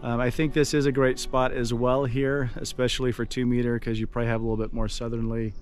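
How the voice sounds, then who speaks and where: A man's voice: medium pitch, flat delivery, fast, faint music behind. A man speaking as an amateur radio operator out on a summit, appreciative, in casual talk and phonetic-alphabet callsigns. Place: outdoors on a forested summit.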